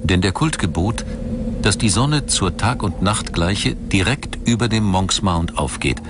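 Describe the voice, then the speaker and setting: A man delivering scripted documentary narration in a measured, formal storytelling manner. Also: sits low, animated, noisy background. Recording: music bed under voice. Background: background music.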